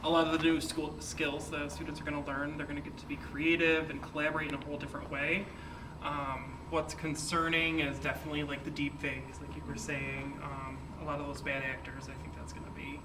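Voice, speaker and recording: Higher-pitched, even-toned man. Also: brisk. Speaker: instructional technology staffer. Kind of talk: informal spoken introduction. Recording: echoey room, distant mic, steady noise.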